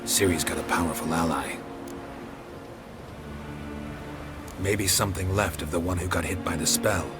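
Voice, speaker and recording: A man playing a gruff, stoic monster hunter in a video game, with terse, deadpan delivery and a gravelly voice. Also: sits low, fairly quick, lightly acted. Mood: curious, dry. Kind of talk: video game cutscene acting. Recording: loud music under the voice.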